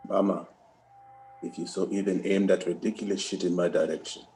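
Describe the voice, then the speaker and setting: A man playing a gruff, defiant soldier in a screenplay table read, speaking curtly and with profanity. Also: sits low, level tone, a little fast, warm tone, a little hiss or hum. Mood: menacing. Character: gruff, defiant male chief warrant officer on a jungle mission.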